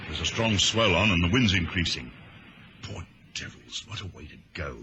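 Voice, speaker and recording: An actor in a vintage radio drama playing a grave naval captain who speaks in terse commands. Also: male voice, low-pitched, expressive, normal speed, highs cut off. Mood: grim. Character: grave male naval captain of a rescue ship. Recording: old radio drama recording, faint noise.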